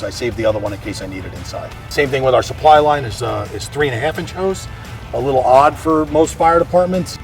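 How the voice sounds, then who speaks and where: A man's voice: mid-range voice, recorded from a distance. An American man, a fire chief, explaining equipment in a practical, plain-spoken way during a walk-through. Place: outdoors around a fire truck.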